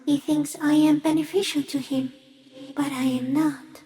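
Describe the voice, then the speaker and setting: A woman voicing a giant stick insect in a video game, gentle, solemn and cryptic, speaking in slow, measured phrases. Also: high-pitched, little pitch movement. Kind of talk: video game character acting.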